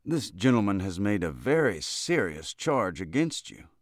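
A man voicing a dignified state governor in a storybook, formal and measured with a dry edge. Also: lowish voice, big pitch swings, no echo. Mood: stern, judicial.

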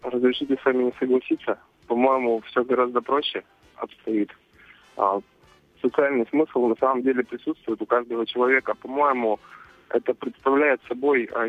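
A man's voice: mid-range voice, steady tone, normal speed, dry recording, soft background music. A man calling in to a radio show, speaking formally and earnestly. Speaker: caller. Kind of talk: call-in radio. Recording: dry phone line.

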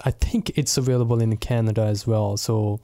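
A man's voice: fairly low pitch, a little fast, dry recording. A young man whose first language is Punjabi, an associate pastor, chatting casually in an interview with many fillers and easy laughs. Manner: relaxed and friendly, laughs easily, many fillers and restarts. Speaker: associate pastor of local mission.

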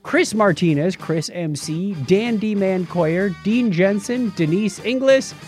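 A man's voice: high voice, slow pace, a little mellow, soft background music. A man co-hosting a podcast in a playful, informal way, moving between casual chat and read-out items. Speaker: podcast co-host.

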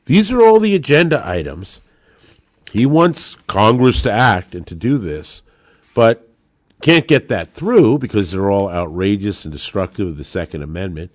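A man's voice: fairly low pitch, no echo. A man with an American accent giving emphatic political commentary as a podcast host, talking straight to listeners with rhetorical turns. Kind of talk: political commentary on gun law.

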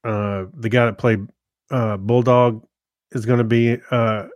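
A man talks casually as a podcast co-host. His mid-range voice moves along hesitantly, with pauses while he hunts for the next word. The recording is dry, without audible room echo. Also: medium pitch, steady tone, muffled recording. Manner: hesitant, pausing to search for words. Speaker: podcast co-host reviewing TV shows. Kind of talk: casual unscripted chat.

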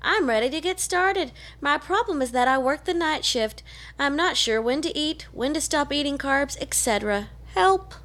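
A woman's voice: high voice, animated, moderate pace, a bit bright. A woman co-hosting a casual podcast, chatty and teasing. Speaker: podcast co-host.

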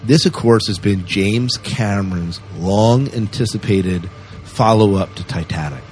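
A man's voice: fairly low pitch, unhurried, darkish tone, soft background music. A man co-hosting a podcast, chatting casually and enthusiastically about science fiction news. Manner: chatty, enthusiastic, asks his co-host questions. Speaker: podcast co-host. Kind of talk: casual sci-fi news chat.

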